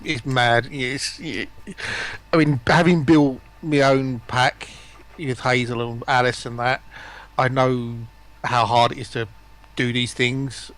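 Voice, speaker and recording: A man's voice: medium pitch, fairly bright tone. A man chatting casually as a guest on a stream. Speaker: stream guest, voice pack builder. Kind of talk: casual chat.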